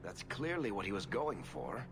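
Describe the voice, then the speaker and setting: A teasing, cocky adventurer speaks with animated mockery at a middle pitch. It is fantasy game acting with clear ambient noise. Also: male voice, normal speed. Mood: mocking. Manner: mocking.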